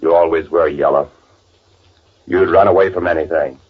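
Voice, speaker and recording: Deep-voiced cold, menacing twin brother. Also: male voice, normal speed, breathy edge, phone-quality sound. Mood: sardonic, menacing. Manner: deadpan, sardonic. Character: cold, menacing twin brother, a man narrating his murder. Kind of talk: old-time radio noir acting and narration. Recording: band-limited old radio drama recording.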